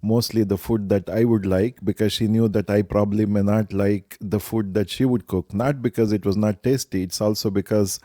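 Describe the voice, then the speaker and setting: A middle-aged man, answering family interview questions in a measured, reflective way. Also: lowish voice, fairly even intonation, dark tone, dry recording, clean studio sound. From India. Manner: measured, reflective, some hesitations. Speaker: interviewee, stepfather.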